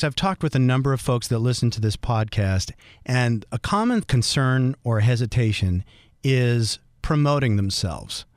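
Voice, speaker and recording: A man with a baritone voice hosting a podcast interview, asking polite, thoughtful, winding questions. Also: no echo, clean studio sound. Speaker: podcast host.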